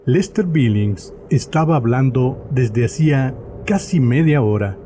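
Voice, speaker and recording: A man's voice: medium pitch, lively intonation, unhurried, mellow, a little hiss or hum. A man narrating a scripted horror story as the narrator of an audio drama.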